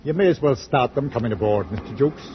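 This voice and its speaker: A lively, swooping delivery on a muffled old radio recording: a man playing a stolid old ship's captain. He is clipped, musing and literal-minded. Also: mid-range voice, quick pace, slight background noise, close to an everyday voice. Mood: unruffled. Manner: clipped, musing, matter-of-fact. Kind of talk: old-time radio drama acting.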